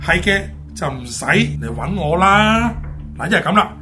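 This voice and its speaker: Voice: medium pitch, very animated, moderate pace, over music, natural voice. A performer playing a brash, bossy, mocking Chinese-medicine doctor with a Hong Kong Cantonese accent, in comic radio drama. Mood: scolding, mocking. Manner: scolding, blunt, colloquial Cantonese banter. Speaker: actor in an amateur radio play.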